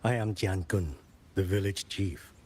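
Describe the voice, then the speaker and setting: An actor playing a grave, gentle old village chief who speaks in a solemn, measured way. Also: male voice, low voice, slight background noise. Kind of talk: scripted game dialogue. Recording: loud background music.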